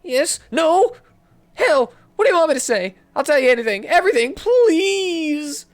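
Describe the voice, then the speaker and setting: A man's voice: extremely high pitch, sing-song, unhurried, voice pushed up, full character voice. A man voicing a desperate female junkie who begs and pleads frantically. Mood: desperate begging. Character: desperate female junkie begging for drug money.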